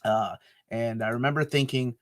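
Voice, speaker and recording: A man's voice: mid-range voice, fairly even intonation, moderate pace. A man co-hosting a live comics talk stream, chatting casually, joking and rambling. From United States. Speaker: co-host of a comics talk show.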